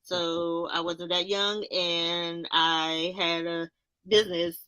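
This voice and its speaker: A woman's voice: lowish voice, flat delivery, takes it slowly, sharp tone, clean tone, no room sound. A woman in her thirties joking casually in playful slang. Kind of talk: casual chat.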